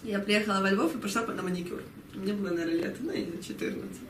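A woman's voice: medium pitch, fast. A woman in her early twenties from Ukraine, chatting casually as an interview guest, often chuckling and now and then dropping in English words. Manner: giggly, chuckles often while talking. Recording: echoey room, distant mic, faint noise.

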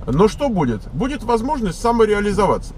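A man's voice: sits high, sing-song, fairly quick. A man casually talking to his viewers while driving, thinking aloud with many hesitations and fillers. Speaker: vlogger on immigration to America. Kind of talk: casual vlog monologue answering viewer questions. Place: car, driving. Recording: recorded in a moving car with road noise. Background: car and street noise.

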